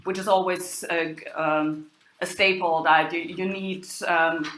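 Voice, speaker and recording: A woman giving an academic lecture in a halting way, pausing and hesitating often. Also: fairly low pitch, steady tone, a bit bright. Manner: halting delivery with long hesitations. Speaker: lecturer, university professor. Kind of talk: spoken lecture on old drama texts. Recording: echoey room.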